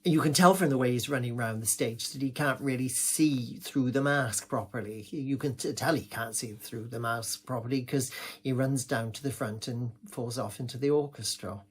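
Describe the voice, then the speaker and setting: A man's voice: medium pitch, normal speed, husky. A man with an English accent performing a gossipy comic monologue, rambling along in an indignant village-gossip manner.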